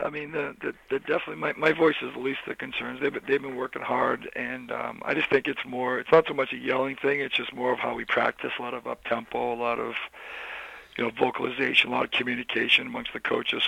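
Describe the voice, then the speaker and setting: Hoarse, gravelly football coach. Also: male voice, medium pitch, little pitch movement, quick pace, pushed and bright, no room sound, poor audio. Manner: rambling, many restarts and "you know" fillers. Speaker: college football head coach. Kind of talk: casual interview answers.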